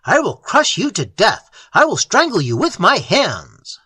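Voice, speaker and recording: A terrified man in a storybook horror tale, crying out in choked, desperate bursts at a pitch strained well above normal speech, acted by a reader. The sound is bright and a little breathy. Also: huge pitch swings, normal speed, dry recording, studio quality, full character voice. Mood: raging. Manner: choking, desperate outbursts. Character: horrified young man haunted by a demon.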